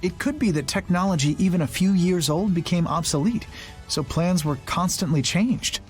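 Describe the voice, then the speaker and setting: An actor playing an earnest, well-bred young companion in an anime video game, speaking thoughtfully and formally. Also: male voice, sits high, normal speed, faint music behind, close to an everyday voice.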